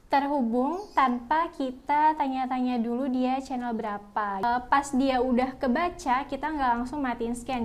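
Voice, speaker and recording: A woman's voice: fairly high pitch, slight background noise. A young woman reviewing products in a casual vlog, chatting to her viewers and calling them guys.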